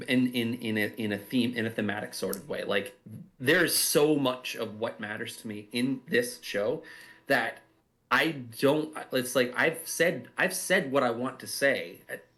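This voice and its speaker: A man's voice: medium pitch, fairly bright tone. A man who writes and makes audio drama, speaking unscripted and reflectively, often circling back to rephrase as he goes. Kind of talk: unscripted commentary discussion.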